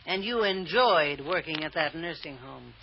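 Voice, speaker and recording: Domineering, cold-blooded villainess, old radio. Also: female voice, sits low, animated, moderate pace. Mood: contemptuous. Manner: sharp, commanding, sneering. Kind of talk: old-time radio drama acting. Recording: muffled, noisy old radio recording.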